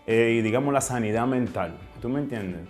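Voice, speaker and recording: A man's voice: mid-range voice, a little fast, darkish tone, soft background music. A man with a Dominican Spanish accent talking reflectively in an interview, often searching for words and ending phrases with question tags. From Dominican Republic. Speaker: writer and interview guest.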